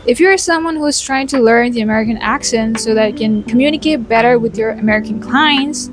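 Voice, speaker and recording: A woman with an Indian English accent talks straight to her viewers in an earnest, chatty, encouraging way. Her voice sits a little high. Soft music plays faintly behind her. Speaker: YouTuber teaching accents. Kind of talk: casual explainer to camera.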